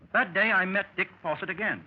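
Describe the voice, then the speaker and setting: An actor playing a seasoned American secret service adventurer, recounting exploits with matter-of-fact bravado in a 1930s radio drama, on an old recording. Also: male voice, high voice, quick pace, breathy edge. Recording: muffled, noisy vintage 1930s radio recording.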